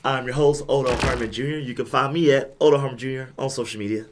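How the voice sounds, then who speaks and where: A young man hosting a casual podcast, hoarse from losing his voice, with laid-back, self-deprecating banter. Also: medium pitch, fairly even intonation, fast talker, background noise. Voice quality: raspy. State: hoarse, lost voice. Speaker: podcast host.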